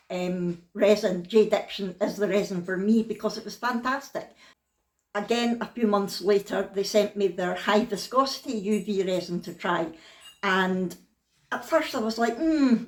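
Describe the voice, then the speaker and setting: Scottish woman, thick accent, casual vlog chat, tells it like it is, middle pitch, echoey room. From Scotland. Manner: frank, down-to-earth storytelling. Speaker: vlogger.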